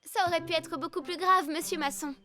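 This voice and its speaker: High-pitched, caring rescue robot. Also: female voice, fairly even intonation, fairly quick, over music. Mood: caring, earnest. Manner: polite, earnest, instructive. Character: caring, careful young female rescue robot advising children. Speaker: rescue team safety instructor. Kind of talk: dubbed children's cartoon dialogue.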